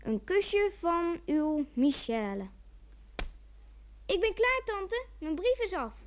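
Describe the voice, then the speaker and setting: A woman's voice: extremely high pitch, sing-song, slow pace, slight background noise, full character voice. A woman playing a cheeky, inventive little girl in an old radio comedy. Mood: pert. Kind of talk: mid-century Dutch radio comedy acting. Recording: dull old radio recording.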